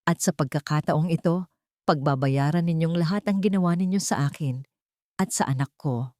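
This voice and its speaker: A woman's voice: sits low, normal speed, darkish tone, no room sound, clean studio sound, natural voice. A woman voicing a proud, guarded woman executive with an earnest, serious manner in a romance drama. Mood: vengeful.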